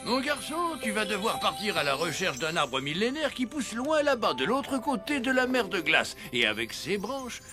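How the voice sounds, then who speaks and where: A man's voice: high voice, very animated, moderate pace, few pauses, over music. A man playing a stern but fatherly older Santa Claus in a children's cartoon, speaking solemnly and theatrically. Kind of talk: French children's cartoon dubbing.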